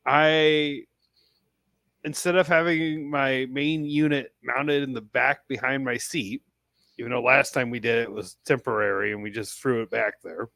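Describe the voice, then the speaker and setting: A man's voice: medium pitch, fairly even intonation, measured pace, gravelly, sharp tone, no echo. A man talking casually as a podcast panelist, describing gear on his truck.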